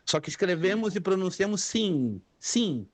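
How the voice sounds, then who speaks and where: High-voiced man teaching, dry close sound. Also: big pitch swings, unhurried. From Brazil. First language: Spanish and Hebrew. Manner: patient, repeats words for students to echo. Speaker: theology teacher. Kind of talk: online class lecture.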